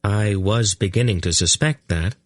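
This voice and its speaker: A courteous royal traveler with dry, understated humor, as a narrator voices him. His voice is low and a little husky, recorded dry and close. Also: moderate pace, dry recording, natural voice.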